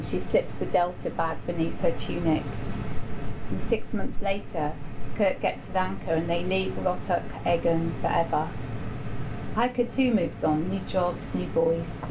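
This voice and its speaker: A woman giving a measured literary reading at a live event in a bar. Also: lowish voice. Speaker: writer reading own story. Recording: live bar event, clearly noisy background.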